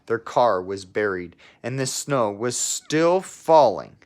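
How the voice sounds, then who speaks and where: A man reading a children's storybook aloud like a teacher, in measured narration with casual asides. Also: mid-range voice, animated.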